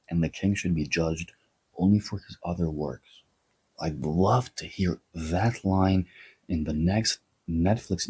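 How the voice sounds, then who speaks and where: A man from Greece talks through a history commentary in a sardonic, opinionated way. His voice sits low and dark, with a husky, breathy edge. He leans on wry, mocking asides. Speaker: history video host.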